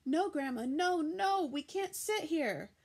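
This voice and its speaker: A woman voicing an excitable, bossy little girl of about six, shouting in short breathless bursts as an audiobook character. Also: high-pitched, slow, pitched above the performer's own voice, heavily acted. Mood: excited, frantic.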